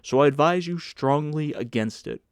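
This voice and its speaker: A man's voice: fairly high pitch, normal speed, clean tone, no echo, studio quality, voice pushed up, close to an everyday voice. A man voicing a proud, blunt German ship's stoker with an argumentative, vigorous manner in an audiobook. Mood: matter-of-fact, proud. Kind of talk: audiobook character acting.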